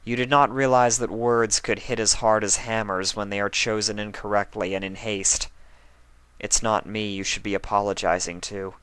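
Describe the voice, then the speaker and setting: A man voicing a stern but caring pony princess of the night who talks softly and gravely. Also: fairly low pitch, level tone, moderate pace, no echo, natural voice.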